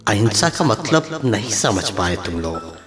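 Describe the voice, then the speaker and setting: In a reverberant hall with loud music behind, a man plays an aged, revered leader. He speaks slowly and solemnly, reproaching his followers with grave sorrow in a radio drama. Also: on the low side, sing-song. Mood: sorrowful reproach. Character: Gandhi, grieving elderly leader gently rebuking his followers. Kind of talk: Hindi radio drama acting.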